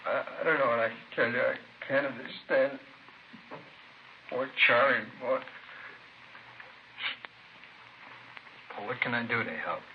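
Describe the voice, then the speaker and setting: A dull old radio recording with faint hiss holds a man acting a distraught witness. He pleads haltingly and emotionally in a slightly low voice, in 1950s drama style. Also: steady tone, natural voice. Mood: pleading, upset. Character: distraught, pleading male parking-lot attendant witness.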